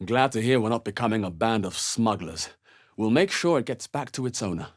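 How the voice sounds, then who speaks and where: A measured male adventurer from a fantasy game. His voice sits on the low side with a husky rasp, and he delivers wry, understated remarks. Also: lively intonation, dry recording, studio quality. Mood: calm, firm. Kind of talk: video game character voice lines.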